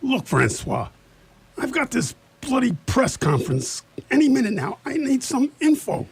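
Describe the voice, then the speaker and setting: An actor playing a gruff, irritable county sheriff who grumbles and curses in a radio play. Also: male voice, extremely high pitch, very animated, normal speed, heavily acted. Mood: impatient. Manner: irritable, cursing, exasperated.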